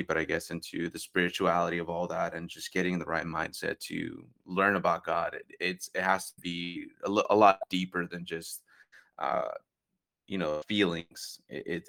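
A young man with a very low, gravelly voice talks in a loose, rambling way. He fills his sentences with "like" and "uh" and restarts often, as if thinking aloud in casual conversation. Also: fairly bright tone. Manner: rambling, many fillers and restarts. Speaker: podcast guest.

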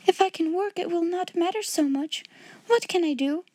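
A solemn little orphan girl from a storybook, acted by a woman narrator. She speaks in a high, quiet, steady voice, proud and a little mournful, recorded very dry. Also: normal speed, no room sound. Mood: hopeful, pleading.

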